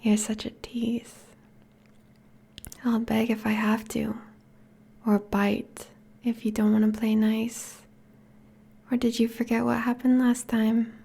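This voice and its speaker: A tender, possessive vampire girlfriend, played by a woman, whispers in an intimate ASMR roleplay. Her voice is fairly high, breathy and creaky at the edges. She coaxes and teases slowly and softly. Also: little pitch movement, exaggerated voice. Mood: coaxing, hungry. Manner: slow, soft, coaxing.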